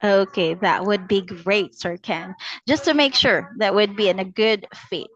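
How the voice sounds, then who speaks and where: A woman's voice: mid-range voice, measured pace, a bit bright. Woman telemarketer on muffled call audio. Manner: polite, addresses the listener formally. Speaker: telemarketing agent in a mock sales call. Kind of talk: scripted cold-call sales pitch, conversational.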